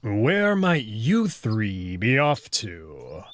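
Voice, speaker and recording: A stern old male wolf guard, acted by a man for a visual novel. He speaks slowly and curtly, with stoic, commanding disapproval, over clearly audible music. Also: lowish voice, wildly swooping pitch, slight background noise, heavily acted. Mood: cold suspicion. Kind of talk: visual novel character voicing.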